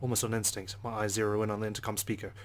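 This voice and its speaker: A man's voice: on the low side, flat delivery, rapid-fire, slight background noise. A man reading a visual novel aloud in the first person with a dry, sardonic delivery. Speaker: let's play narrator.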